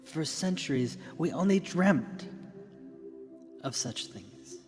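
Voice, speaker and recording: Long hall reverb over music carries a man acting as a confident tech founder in a radio drama, grand and deliberate. He speaks at an unhurried pace with theatrical pauses, like a keynote speaker selling the future. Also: on the high side, animated. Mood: grand, visionary. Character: confident visionary tech company founder giving keynote speech.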